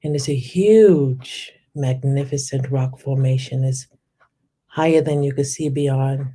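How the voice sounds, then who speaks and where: A meditation guide leading a guided visualization in a soft, soothing, unhurried way, lingering on descriptive words. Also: medium pitch.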